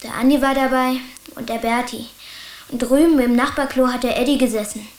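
A woman acting a plucky, troubled schoolboy with a high voice. The delivery is colloquial and matter-of-fact, in radio drama style, recorded with a roomy echo. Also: steady tone, fairly quick, lightly acted. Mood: sheepish.

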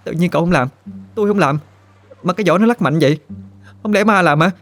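A man with a Southern Vietnamese accent voicing a frightened, indignant young woman, speaking in high, shrill, stammering protests. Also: fast talker, a bit bright, faint music behind. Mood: panicked.

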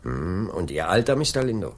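An actor playing a politely persistent police inspector in a radio play, questioning insistently with drawn-out, swooping phrases and a creaky voice. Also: male voice, deep voice, big pitch swings, heavily acted. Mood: politely probing. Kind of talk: 1980s German radio play acting.

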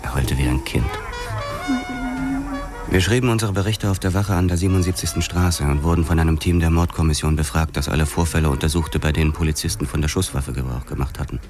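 A man's voice: extremely low pitch, fast, music in the background. An actor playing a world-weary police detective who narrates his story in a hardboiled crime radio drama. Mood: grim, terse.

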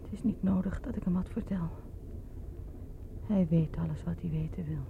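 A woman playing an anxious, determined truth-seeker in a radio drama, by turns pressing and hushed. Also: fairly low pitch, moderate pace, creaky, phone-quality sound, a little hiss or hum. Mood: anxious, brooding. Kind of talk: radio drama acting with intimate interior monologue.

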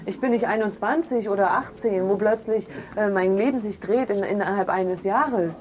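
A woman's voice: medium pitch, normal speed, a little mellow, natural voice. A woman playing a defensive, indignant daughter accused of a crime, in a naturalistic audio drama.

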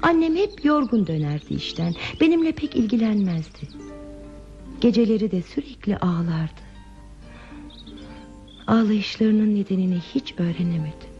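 An actress playing a lonely, withdrawn woman in a radio play, confiding her sad past in a hesitant, soft, reflective way. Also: mid-range voice, lively intonation, normal speed, pauses often, natural voice. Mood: melancholy, confiding. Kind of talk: Turkish radio theatre acting. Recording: muffled lo-fi radio drama with music.

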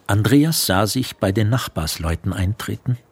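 A man narrating a literary radio drama in a composed, measured storytelling manner. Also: lowish voice, expressive, husky, no room sound. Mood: measured, descriptive.

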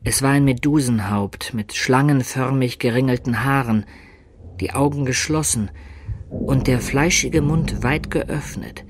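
An actor playing an adventurer who recounts his tale in measured, descriptive narration for a German radio drama. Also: medium pitch, slight background noise, natural voice. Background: music.

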